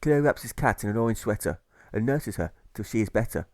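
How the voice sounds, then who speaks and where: A man reading a scripted rhyming audiobook in rhythmic, measured phrases, landing on each rhyme. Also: on the low side, expressive, darkish tone, no echo, studio quality. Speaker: author reading own audiobook.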